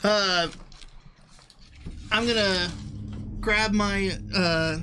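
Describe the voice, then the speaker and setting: A woman's voice: medium pitch, extremely slow, pushed and bright, slight background noise. A woman playing in a tabletop game, casually stating her moves piece by piece, with music behind her.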